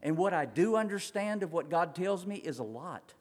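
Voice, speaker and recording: A man preaching a sermon, swinging between loud urgent passages and quiet slow ones, drawing out words for emphasis. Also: sits high, animated. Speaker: pastor. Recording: long reverb of a large hall.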